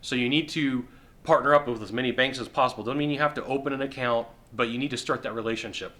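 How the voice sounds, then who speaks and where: A middle-aged man giving sales advice straight to the camera from a sofa indoors, emphatic and repetitive, with quick acted-out asides. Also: mid-range voice, sharp tone. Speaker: sales coach. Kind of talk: direct-to-camera advice talk. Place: indoor living room on a sofa.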